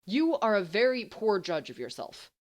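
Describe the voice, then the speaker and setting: A woman's voice: mid-range voice, sing-song, pitched above the performer's own voice, lightly acted. Audiobook role: dry-witted bookish teenage boy voiced by a woman, exasperated, grumbling, with very varied intonation. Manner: grumbling, sardonic, halting when flustered.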